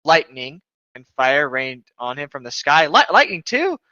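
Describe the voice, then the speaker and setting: A man's voice: a little high, sing-song, normal speed, sharp tone, dry recording. A man reading a story aloud as a co-host, with jokey, sweary asides, on a slightly dull mic.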